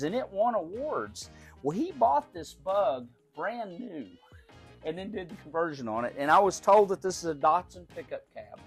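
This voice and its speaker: A middle-aged man from Oklahoma talks through his car collection in a relaxed, folksy way. He often repeats a word while he gathers his thoughts, and his voice sits a bit high for a man. Faint music plays under the recording of his garage tour. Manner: folksy, unhurried, repeats words while thinking. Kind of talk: casual show-and-tell. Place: large garage.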